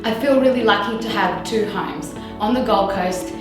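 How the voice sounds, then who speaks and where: A woman's voice: mid-range voice, moderate pace. A woman from Melbourne with an Australian accent, speaking about herself with enthusiasm. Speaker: school staff member. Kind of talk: personal introduction to camera. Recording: echoey room, distant mic, background music.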